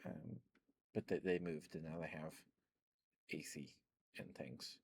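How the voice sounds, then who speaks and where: Slow, low-voiced man rambling softly. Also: creaky, breathy edge. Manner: soft rambling talk with many fillers and laughs. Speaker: ASMR streamer. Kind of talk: rambling ASMR chat.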